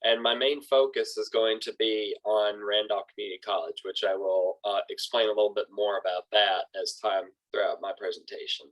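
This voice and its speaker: A man's voice: lowish voice, steady tone, fairly quick, hardly any pauses, a bit bright, rough recording. A man presenting to his class over a video call, sounding nasal from a cold and speaking hesitantly with many ums. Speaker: student presenter.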